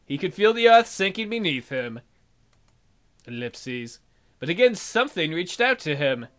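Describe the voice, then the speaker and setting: Bright, wry let's player narrating. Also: mid-range voice, big pitch swings, measured pace. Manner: wry, teasing asides. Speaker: let's play host. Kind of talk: story narration with wry running commentary.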